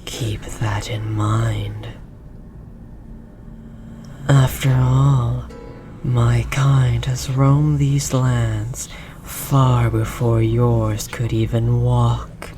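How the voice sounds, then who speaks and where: A performer voicing an ancient forest god in a roleplay audio drama, solemn and condescending, with a breathy, whispery voice and archaic formal phrasing. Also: mid-range voice, little pitch movement, very slow, dark tone, faint music behind. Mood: condescending, menacing. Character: ominous ancient forest god, possessive, condescending, gently menacing. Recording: noisy, layered forest ambience and effects. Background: forest ambience.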